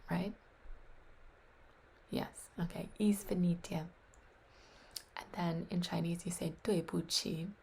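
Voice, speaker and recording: Soft-whispering woman with a creaky voice. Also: lowish voice, fairly even intonation, takes it slowly, pauses often, slight background noise. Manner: soft whispering, repeats words aloud to practice; unsure, self-deprecating. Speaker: language learner practicing phrases. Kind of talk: whispery language practice.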